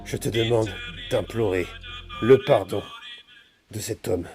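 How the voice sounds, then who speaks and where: A man's voice: on the low side, slow, a little mellow, soft background music. A man improvising a cheeky, mock-solemn priest in an online roleplay game, with formal, polite phrasing that slips into crudeness. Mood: solemn praying. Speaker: gaming video creator playing a priest.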